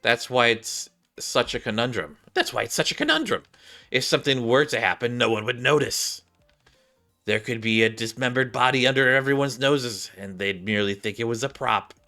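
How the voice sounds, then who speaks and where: A man's voice: mid-range voice, lively intonation, normal speed, bright, forceful tone, soft background music. A man voicing an overzealous, suspicious young female detective from a game, pompous and theatrical.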